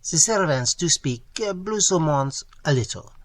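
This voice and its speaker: A man's voice: on the high side, lively intonation, moderate pace, no echo, voice pushed up, natural voice. A man voicing a fussy, stout middle-aged landlady with a French accent, gushing in broken English full of French words.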